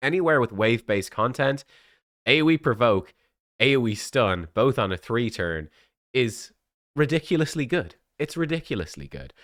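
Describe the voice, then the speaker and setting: A man's voice: medium pitch, sing-song, normal speed, fairly bright tone, no echo, studio quality. A man with a cold, sniffly and coughing, chatting casually as a game content creator, with many fillers and restarts.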